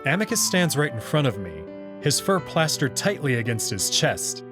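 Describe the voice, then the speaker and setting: A man's voice: a little high, big pitch swings, moderate pace, music in the background. A man reading first-person story narration aloud in a scripted visual novel style.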